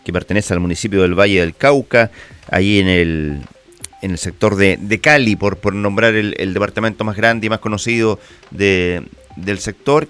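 A man's voice: fairly low pitch, a bit bright, clean tone. A Chilean man hosting a radio interview, asking long questions with some restarts. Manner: thoughtful, halting questions with restarts. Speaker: radio host.

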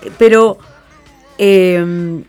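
A woman's voice: fairly low pitch, fairly even intonation, very slow, darkish tone, smooth, soft background music. A woman with a Chilean accent hosting a radio interview in a chatty, colloquial, conversational way. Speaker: radio programme host.

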